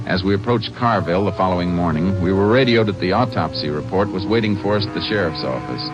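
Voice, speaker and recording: An actor playing a calm, terse Texas Ranger investigator with an American accent, matter-of-fact in an old-time radio drama. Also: male voice, deep voice, fast, music in the background, lightly acted. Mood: steady narrating. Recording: noisy, muffled vintage radio recording.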